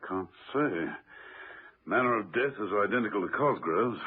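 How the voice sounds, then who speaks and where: An actor playing a suave, unflappable British gentleman investigator in a radio drama, on an old muffled recording. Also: on the low side, expressive, normal speed, breathy edge. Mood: cool, wry.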